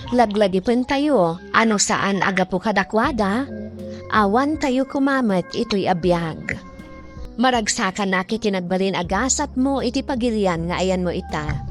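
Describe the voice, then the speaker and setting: A woman's voice: mid-range voice, expressive, normal speed. A woman with an Ilocano accent hosting a radio drama, reading in a scripted narration style. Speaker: radio drama host reading a story's advice. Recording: music bed under the voice. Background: background music.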